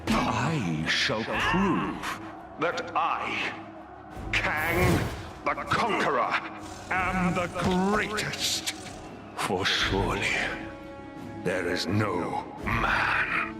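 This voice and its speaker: Grandiose tyrant, slow booming proclamations, video game villain, dramatic music behind. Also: male voice, expressive. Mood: boastful.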